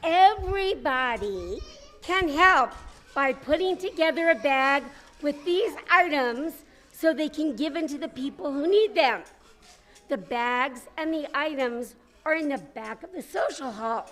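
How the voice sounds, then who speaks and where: Female announcer for families, high pitch, slow, deliberate, explanatory scripted read. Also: expressive, fairly bright tone. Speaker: announcer for a charity appeal. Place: social hall, live.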